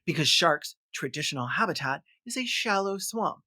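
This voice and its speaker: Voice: medium pitch, expressive, moderate pace, sharp tone. A video host delivering sarcastic scripted commentary in a theatrical, mocking manner. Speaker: host of a comedic film review.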